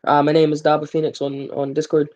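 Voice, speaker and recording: A man's voice: on the high side, monotone, quick pace, a little mellow, muffled recording. Rambling male live-stream gamer. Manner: rambling, full of fillers, jokes with viewers. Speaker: gaming livestream host. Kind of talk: casual live-stream chat.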